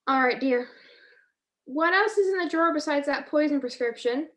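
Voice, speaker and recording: A young woman playing a wry, jealous, sharp-witted detective's wife in a radio drama, with an arch, teasing manner. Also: high voice, moderate pace. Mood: curious. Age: young adult.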